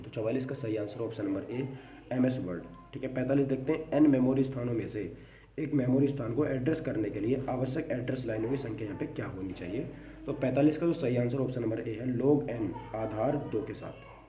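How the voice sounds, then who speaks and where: A man's voice: medium pitch, a little fast. A man teaching an informal exam-prep lesson, reading out quiz questions and answers and mixing in English terms. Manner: repeats catchphrases, mixes English terms into explanations. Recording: dull, distant, echoey room with faint noise.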